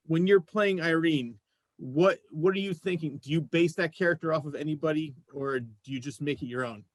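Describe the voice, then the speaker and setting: A man's voice: a little high, normal speed, no echo. A man hosting a casual, conversational interview, putting questions to his guests. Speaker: show host interviewing guests.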